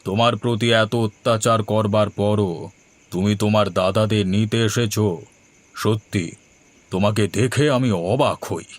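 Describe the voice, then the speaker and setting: A man voicing a stern, wise holy man in a fairy tale cartoon, speaking solemnly and deliberately. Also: on the low side, steady tone, close to an everyday voice. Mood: approving, solemn.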